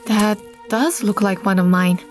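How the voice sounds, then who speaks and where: A practical young village mother, voiced by a woman, speaking plainly at a middle pitch. Faint music plays behind her in this audio drama. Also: steady tone, measured pace, natural voice.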